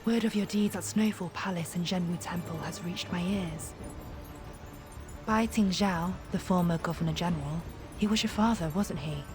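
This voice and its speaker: A woman's voice: fairly low pitch, lightly acted. An actress playing a solemn, authoritative woman in a video game, speaking formally and probingly over music. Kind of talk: dramatic video game voice acting. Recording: background music under the voice.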